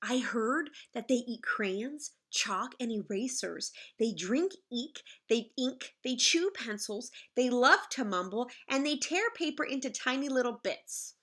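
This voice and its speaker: A woman reading a picture book aloud in the manner of a teacher, expressive and explaining things simply to children. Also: on the high side, a little slow, hardly any pauses. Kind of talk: animated children's read-aloud with asides to the listeners.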